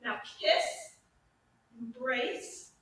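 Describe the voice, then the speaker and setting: A woman playing an impulsive, haughty, lovesick young gentlewoman in a theatrical Shakespearean staged reading, exclaiming and swinging between scolding and doting. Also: a little high, fairly even intonation, extremely slow. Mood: lovesick, frantic. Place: echoey room at a live staged reading. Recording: echoey room, distant mic, faint noise.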